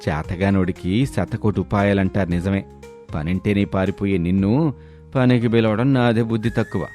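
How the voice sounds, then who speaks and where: A man's voice: on the low side, steady tone, normal speed, a little mellow, soft background music, close to an everyday voice. A man playing a hardworking village labourer, blunt and reproachful, in a storybook tale. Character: hardworking village men scolding a lazy neighbour. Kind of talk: storybook character voice.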